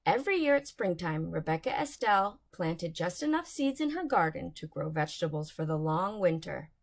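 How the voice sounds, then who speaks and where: A woman's voice: medium pitch, fairly bright tone. A woman reading bedtime storybooks aloud to young children, expressively, with dramatic emphasis on key words.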